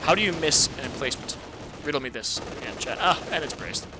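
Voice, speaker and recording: A man casually commentating a strategy game replay, excitable and laughing often, talking to his chat, his voice a bit hoarse. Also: medium pitch, fast talker, background noise. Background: video game gunfire and artillery.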